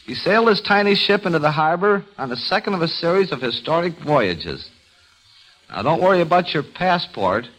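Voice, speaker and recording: A man's voice: on the high side, lively intonation, normal speed, a bit bright, low-quality recording. A man presenting a scripted travel quiz as a guest quizmaster on an old radio broadcast.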